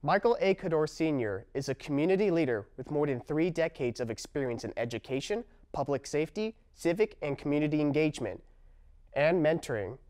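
A young man presents to camera in an indoor studio, reading a script with an upbeat energy. His voice sits a bit high for a man. A clip-on mic keeps him close. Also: expressive, a little fast, a little mellow. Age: young adult. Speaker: student presenter. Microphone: lapel mic.

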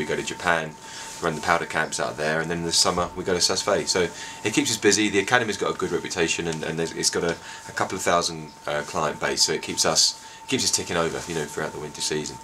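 A man's voice: low voice, fairly even intonation, fast, few pauses, pushed and bright, a little hiss or hum. A man in his forties, a ski school owner, talking casually outside a chalet in an interview, with lots of fillers and restarts. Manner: chatty, with frequent fillers and restarts. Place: outdoors by a wooden chalet in snow.

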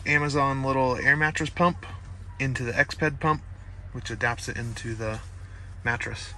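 Relaxed man vlogging at a campsite. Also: medium pitch, normal speed, a little hiss or hum. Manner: relaxed, matter-of-fact show-and-tell with fillers. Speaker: overland camping vlogger. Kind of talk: casual vlog narration. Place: outdoors at a forest campsite.

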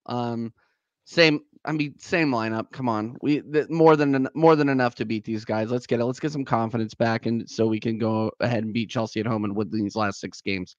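A man guest on a football chat, upbeat and giving shoutouts before leaving. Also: medium pitch, fairly even intonation, normal speed, no echo, highs cut off. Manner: upbeat sign-off, "big up" shoutouts. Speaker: podcast guest.

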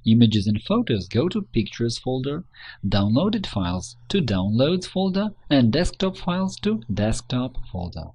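A man reading a scripted instructional narration in a measured, step-by-step manner. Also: medium pitch, big pitch swings, darkish tone, slightly rough. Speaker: tutorial narrator.

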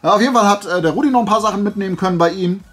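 A man's voice: high-pitched, very fast, a bit bright. A man chatting casually and playfully to camera as a vlogger, rambling through asides. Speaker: YouTuber showing flea market finds.

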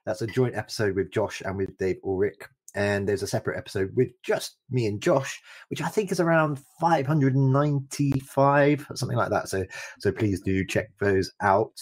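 A man with a low voice hosting a podcast interview. He is effusive, heaping compliments on his guest. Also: moderate pace. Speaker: podcast host.

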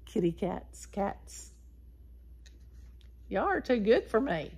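A woman in her sixties hosting a story time, reading aloud and talking directly to young children in an animated way. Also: medium pitch, breathy edge. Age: 60s. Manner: animated, addressing children directly, drawn-out words and animal sounds. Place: small wood-paneled room indoors.

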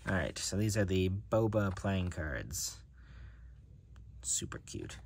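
A man's voice: low-pitched, big pitch swings, takes it slowly, creaky, darkish tone, slight background noise. A man casually narrating an unboxing of playing cards, musing to himself as he handles them. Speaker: card collector reviewing decks. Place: indoors at a table.

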